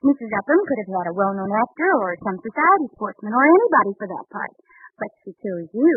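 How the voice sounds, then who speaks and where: An American actress playing a sweetly scheming young housewife in 1930s radio comedy, coaxing and gently persistent. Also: female voice, medium pitch, sing-song, fast talker, breathy edge, no echo. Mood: sweetly persuasive. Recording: old tinny 1930s radio broadcast.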